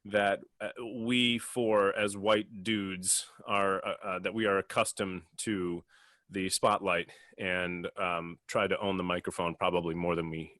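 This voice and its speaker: A man co-hosting a casual podcast discussion, speaking haltingly and often restarting his phrases. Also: low voice, flat delivery, bright, forceful tone, dry recording. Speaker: podcast host and audio editor.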